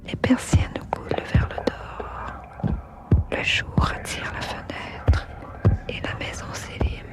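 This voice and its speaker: A woman reciting poetry in a hushed, unhurried whisper. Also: over music.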